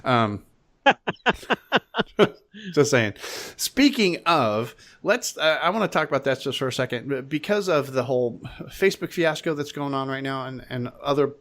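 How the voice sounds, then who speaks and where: A man's voice: medium pitch, animated, moderate pace, a bit bright. A man hosting a podcast in an informal, wry, rambling way, chatting casually and reading out plugs. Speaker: podcast host.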